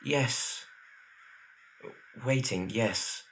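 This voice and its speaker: A man playing an earnest, lovesick young gentleman architect with a formal way of speaking. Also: medium pitch, extremely slow, creaky, slight background noise, heavily acted. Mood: dismayed. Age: young adult.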